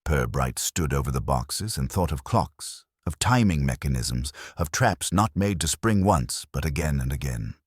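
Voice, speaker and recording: A man reading a scripted mystery story in a measured, literary storytelling manner. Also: extremely low pitch, expressive, husky, no echo, clean studio sound. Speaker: narrator.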